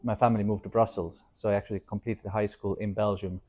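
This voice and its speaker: A man's voice: on the low side, little pitch movement, quick pace, a little mellow. A man, giving reflective interview answers in a hesitant, thoughtful way. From Estonia. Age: 30s. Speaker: interview guest, startup founder.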